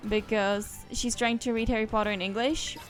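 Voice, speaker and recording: A woman's voice: a little high, steady tone, normal speed, soft background music. Chatty woman streamer. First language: Czech. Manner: rambling and chatty, trails off into asides. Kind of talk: casual let's-play commentary.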